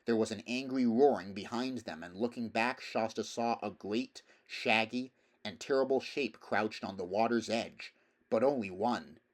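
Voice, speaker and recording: A man reading a story aloud as narrator, in a measured, expressive, dramatic style. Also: fairly low pitch. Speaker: audiobook reader.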